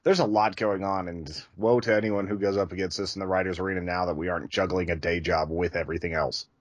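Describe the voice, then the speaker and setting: A man's voice: sits low, fairly even intonation, fast, no echo, lo-fi sound. A man chatting casually and enthusiastically as a podcast co-host.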